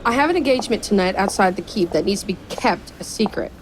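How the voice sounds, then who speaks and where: An actress playing a composed, discreet young royal woman in an audio drama, measured and poised. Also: mid-range voice, lively intonation, natural voice. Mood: guarded. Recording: clear background noise.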